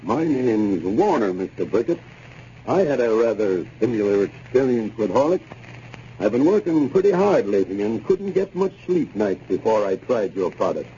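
A man's voice: lowish voice, big pitch swings, normal speed, dark tone. An American man giving a scripted, plain-spoken testimonial on 1930s radio. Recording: muffled, hissy 1930s radio recording.

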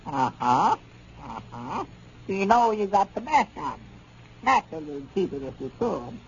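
An actor playing a touchy, flustered storekeeper with a rural Southern accent in a 1930s radio comedy. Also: male voice, high voice, big pitch swings, normal speed, breathy edge, heavily acted. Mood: resentful. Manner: folksy, flustered, grumbling. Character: touchy, aggrieved small-town male storekeeper in rural Arkansas. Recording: noisy, muffled 1930s radio recording.

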